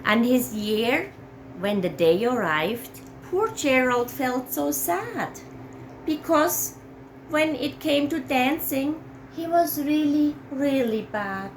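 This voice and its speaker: A woman reading a children's picture book aloud in an expressive, dramatic way. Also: sits high, slight background noise, poor audio. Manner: slow, dramatic phrasing for young children.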